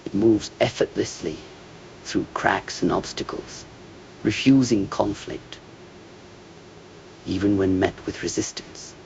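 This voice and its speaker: A man speaks very slowly in a low, gravelly voice, as if in quiet meditation. His scripted phrases are measured and contemplative, often softening toward a whisper at the ends. A faint low noise sits under the voice. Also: muffled recording. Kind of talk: slow meditative scripted monologue.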